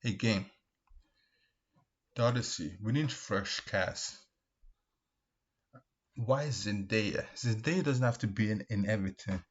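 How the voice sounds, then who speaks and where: Husky low-voiced man, repetitive and halting. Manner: repetitive, emphatic, halting with restarts. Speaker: video host, commentator. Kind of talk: casual opinion commentary, reacting to comments. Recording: dull, lo-fi microphone sound.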